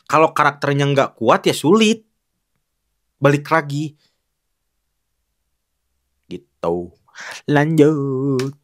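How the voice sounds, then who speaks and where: A man's voice: fairly high pitch, expressive, slow pace, pauses often. Frank, chatty young man critiquing acting. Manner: frank and critical, loose with many fillers and restarts. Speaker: film acting reviewer. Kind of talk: casual spoken commentary on film clips.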